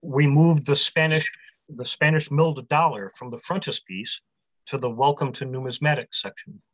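A man in his forties, a publisher, giving a prepared convention talk from a small room over a video call, in a measured delivery that runs through long lists. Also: medium pitch, no room sound. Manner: measured, list-heavy delivery. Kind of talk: prepared talk read aloud. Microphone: webcam on a video call. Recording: dry, slightly muffled webcam audio.

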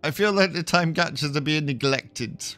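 A man's voice: on the high side, normal speed, fairly bright tone, soft background music. A man hosting a casual gameplay video, chatty and self-deprecating, thinking aloud, still getting over a cough. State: recovering from a cough. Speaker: gaming video host.

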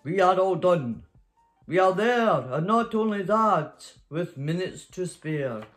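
A hearty storybook Santa, played by a woman reading aloud, calls out to his elves. He is jolly, loud and commanding, with faint music behind him. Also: on the low side, lively intonation, slow pace. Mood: jubilant. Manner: exclamatory, commanding.

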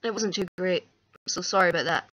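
A woman's voice: fairly low pitch, a little fast, fairly bright tone. A young woman with a crackly voice, chatting casually and rambling self-deprecatingly into a webcam up close. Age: young adult. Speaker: vlogger. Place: small dim room indoors. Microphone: webcam or laptop microphone up close.

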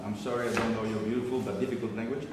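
A man in his sixties whose first language is French, speaking English from a concert stage to an audience, halting with fillers and restarts. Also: lowish voice, flat delivery, very noisy. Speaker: violinist addressing a concert audience. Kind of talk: unscripted speech from the stage. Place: large concert hall stage with live audience. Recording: distant voice in a reverberant concert hall.

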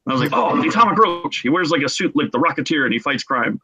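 A middle-aged man telling casual stories as a podcast guest, in an excitable, jokey manner. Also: a little high, fast, slightly rough. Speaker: podcast guest, game artist. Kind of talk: casual animated storytelling. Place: small room at home, video call. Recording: muffled lo-fi video call.

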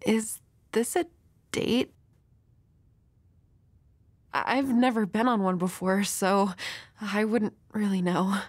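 A woman's voice: medium pitch, steady tone, takes it slowly, long pauses, dry recording. A woman playing a nervous, dorky young woman with a crush in an intimate roleplay audio, hesitant, stammering and apologetic. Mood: shy, flustered.

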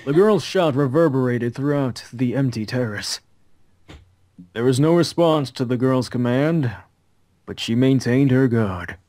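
A man's voice: mid-range voice, unhurried, warm tone, no echo. A man reading visual novel narration aloud as the narrator of a fan dub.

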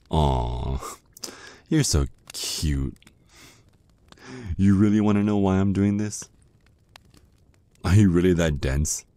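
ASMR roleplay casting: jealous male vampire ex, acted by a man, extremely low dark voice, slow seductive taunts. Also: animated, dry recording, heavily acted. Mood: possessive, vindictive. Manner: slow, teasing, drawn-out menacing murmur.

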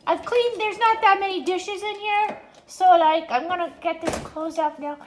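A cheerful little female dog, a food-loving beggar, chatters away in a very high, childlike sing-song voice, as a woman puts her on. She rambles like a homemade news host, and her voice rings around a roomy home kitchen. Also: fairly even intonation, normal speed, a little hiss or hum. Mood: chatty. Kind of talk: pet vlog voiced as the dog.

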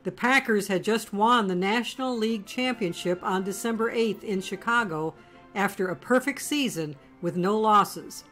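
A woman giving scripted documentary narration in a measured, storytelling delivery. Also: mid-range voice, a bit bright, faint music behind.

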